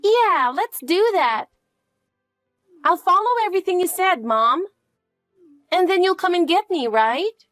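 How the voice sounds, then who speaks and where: A woman's voice: very high voice, lively intonation, unhurried, pauses often, a bit bright, dry recording, faint music behind, voice pushed up, full character voice. A woman voicing a frightened little girl who clings to her mother with pleading questions. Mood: eager, pleading. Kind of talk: dramatic dialogue.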